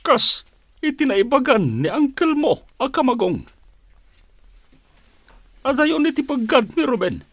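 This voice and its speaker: Stately tribe chief in radio drama, high voice, super expressive pitch, slow and deliberate. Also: female voice, no room sound, heavily acted. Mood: solemn, assuring. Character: dignified, supportive female leader of a tribe. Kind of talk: Ilocano radio drama acting.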